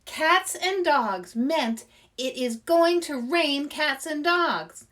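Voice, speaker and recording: A woman voicing a bold, showy, excitable young female animal in a storybook, grandly announcing with exuberance. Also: high-pitched, slow, pitched above the performer's own voice, full character voice. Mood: grand, proud.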